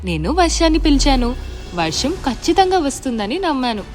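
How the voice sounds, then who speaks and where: A woman's voice: high voice, very animated, brisk, a little mellow, soft background music, voice pushed up. A woman voicing a small, hopeful, determined frog in a children's storybook, speaking in emphatic exclamations. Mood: proud.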